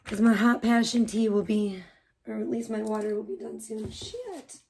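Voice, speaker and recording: A woman live streamer, hoarse and losing her voice, chatting bubbly and rambling with her viewers while making tea in her kitchen. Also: on the high side, moderate pace, darkish tone, a little hiss or hum. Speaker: live streamer greeting chat. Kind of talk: casual live stream chat.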